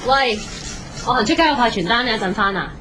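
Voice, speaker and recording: A woman's voice: a little high, animated, fast talker, noisy background, lightly acted. An actress playing a young woman in an audio drama, with a Hong Kong Cantonese accent. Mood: brisk, chatty.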